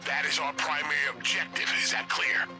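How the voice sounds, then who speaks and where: A man voicing a gruff government agent handler who briefs and directs a field operative with dry sarcasm, in video game dialogue with loud music behind. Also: fairly high pitch, fairly even intonation, brisk, natural voice. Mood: tactical. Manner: dry, sarcastic briefing with bursts of urgent orders. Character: gruff male federal agent handler, sardonic and commanding. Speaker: government agency handler giving mission orders.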